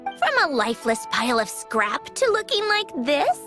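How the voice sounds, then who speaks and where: A woman's voice: sits high, huge pitch swings, moderate pace. A woman voicing a haughty, prickly mechanical genius in a video game, imperious and sardonic in manner. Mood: haughty, dismissive. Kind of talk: video game character acting. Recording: music playing behind the voice.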